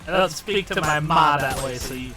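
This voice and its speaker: A man's voice: on the high side, normal speed, far from the mic, over music, close to an everyday voice. A man putting on a combative, mock-indignant East Coast tough guy in a joking role-play. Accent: put-on Boston or New York.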